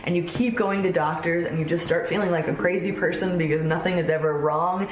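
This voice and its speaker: A woman's voice: on the low side, fairly even intonation, a little fast, a little hiss or hum. Woman, 30s, vlog host; candid, enthusiastic, a bit congested; echoey room. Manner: chatty, candid, warmly enthusiastic. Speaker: vlogger host. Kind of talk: casual personal vlog.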